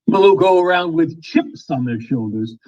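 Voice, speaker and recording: An actor playing a pompous, easily flustered small-town mayor in old-time radio comedy style, wordy and prone to stammering. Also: male voice, on the high side, expressive, moderate pace, a little mellow, lo-fi sound, natural voice.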